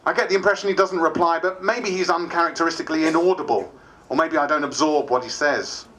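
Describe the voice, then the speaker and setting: A man's voice: fairly high pitch, fast, a bit bright, natural voice, rough recording. A man playing a wry politician who narrates a diary in a theatrical stage style. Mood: tense recounting.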